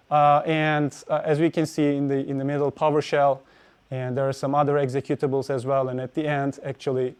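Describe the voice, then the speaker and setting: A man's voice: fairly high pitch, a little mellow, smooth. A man giving a technical conference talk, hesitant, with many fillers and restarts. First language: Turkish. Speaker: conference speaker.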